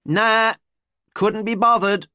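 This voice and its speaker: A woman's voice: medium pitch, steady tone, slow pace, bright, forceful tone, smooth, dry recording, studio quality, pitched above the performer's own voice. A woman voicing a lazy, sardonic teenage schoolboy in an audiobook, flippant and emphatic. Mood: amused, flippant.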